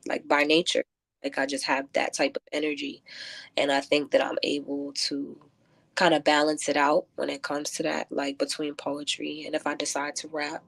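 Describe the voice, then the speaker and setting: A woman with a low voice speaks as a guest poet in a radio interview. She is reflective and halting, feeling her way through answers. The sound is close and dry. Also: completely flat delivery, gravelly, no room sound. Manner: thoughtful, halting, many filler likes. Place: close-miked radio show.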